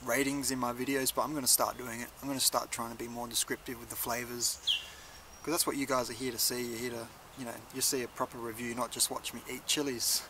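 A man's voice: mid-range voice, little pitch movement, fast talker, noisy background. A young man giving a casual, laid-back review to camera in a conversational way. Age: young adult. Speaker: chilli reviewer, video host. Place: outdoors in a leafy garden.

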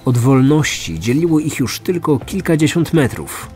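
A man narrating a scripted true crime story as host, in a measured, serious manner. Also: on the high side, very animated, darkish tone. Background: music.

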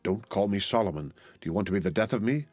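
A man's voice: lowish voice, expressive, a little fast, darkish tone, no room sound, faint music behind. A man voicing a vexed, furtive, self-important Englishman in a storybook, abrupt and irritable.

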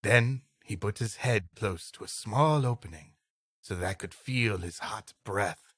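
A man's voice: lowish voice, measured pace, fairly bright tone, husky, dry recording, clean studio sound. A man co-hosting a comedy podcast, reading an old story dramatically and trading comic banter.

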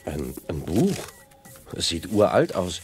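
An actor playing an earnest, brave young space hero with dramatic delivery in a children's radio play. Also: male voice, sits low, huge pitch swings, slow, a little mellow, soft background music, exaggerated voice. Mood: amazed.

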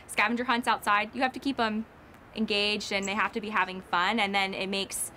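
A woman's voice: mid-range voice, flat delivery, brisk, sharp tone, a little hiss or hum. A woman in her thirties, a fifth grade teacher, talking in an upbeat, chatty way in an interview outdoors by a parking lot. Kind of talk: interview for local TV feature.

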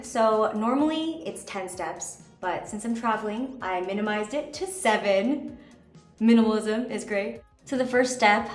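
A young woman in her twenties, chatting casually through a skincare routine in a bathroom, bubbly and chatty. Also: on the high side. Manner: bubbly, chatty, lots of "like" and "just". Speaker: vlogger. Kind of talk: casual skincare tutorial talk. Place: hotel bathroom at the mirror. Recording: echoey bathroom, faint music.

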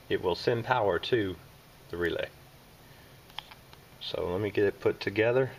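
Southern man, HVAC technician, low voice, plain step-by-step explaining, handheld camera with faint room noise. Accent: Southern American. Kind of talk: hands-on repair walkthrough. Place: indoors at a furnace in a basement or utility space. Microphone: handheld camera filming close-up work.

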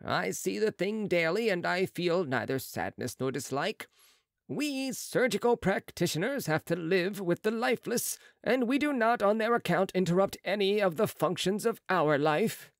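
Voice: mid-range voice, animated, moderate pace, dry recording, clean studio sound, voice pushed up, lightly acted. A reader voicing a proud, sneering young male surgeon who talks loftily in an audiobook. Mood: boastful. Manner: boastful, lofty, sharp retorts. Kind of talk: audiobook character reading.

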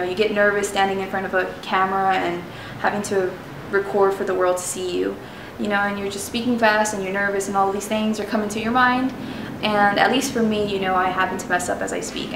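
A woman's voice: mid-range voice, recorded from a distance, background noise. Chatty young woman in an echoey room. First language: Spanish. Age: young adult. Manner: chatty, self-deprecating, many ums and restarts. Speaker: travel vlogger teaching phrases. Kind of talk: casual explanatory lesson to camera.

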